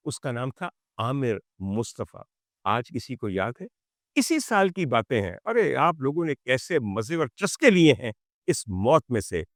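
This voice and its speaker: A man giving an opinionated commentary to viewers, indignant and sarcastic, using rhetorical questions and pauses for emphasis. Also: medium pitch, big pitch swings, no room sound, clean studio sound. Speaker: commentary show host.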